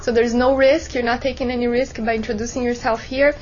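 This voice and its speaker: A woman's voice: on the high side, flat delivery, a little fast, darkish tone, dull audio, slight background noise. A woman instructor leading a classroom workshop, encouraging students and asking rhetorical questions. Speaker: law school instructor.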